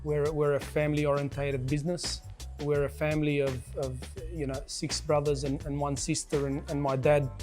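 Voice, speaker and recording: Male business manager, interview testimonial, halting delivery, flat intonation, music bed underneath. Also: a little high. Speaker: general manager of a tyre business. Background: background music.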